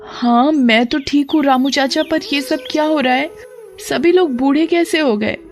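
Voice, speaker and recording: A woman's voice: high-pitched, moderate pace, faint music behind, voice pushed up, close to an everyday voice. A woman voicing a brave, clever young village girl in a cartoon story, raised and urgent. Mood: alarmed.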